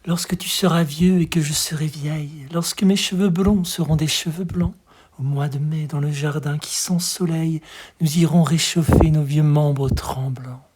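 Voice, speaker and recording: A hushed, breathy voice giving an expressive, tender recitation of poetry in a measured way. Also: mid-range voice, a little hiss or hum. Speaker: poetry reader.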